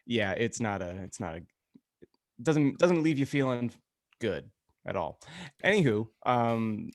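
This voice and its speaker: A man co-hosting a podcast in casual chat, often halting and repeating words as he starts. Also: medium pitch, lively intonation, no echo, clean studio sound. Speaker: podcast host.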